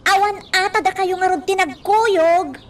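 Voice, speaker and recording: An actress playing an anxious, scolding daughter in an Ilocano radio drama. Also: female voice, very high-pitched, normal speed, far from the mic, a little hiss or hum. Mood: accusing.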